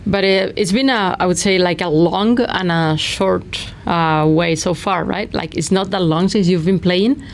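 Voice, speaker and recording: A woman's voice: lowish voice, measured pace, few pauses. A woman hosting an interview, friendly and hesitant, with many fillers. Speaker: podcast host.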